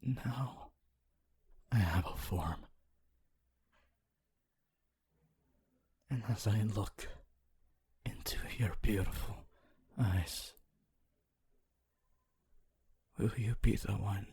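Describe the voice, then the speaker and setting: A lonely, honorable male spirit acted out by a man speaks in a very breathy, hushed voice. It is low and very slow, with halting, intimate phrasing. He sounds tender and full of regret, as in an ASMR roleplay. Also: full character voice. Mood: tender, longing.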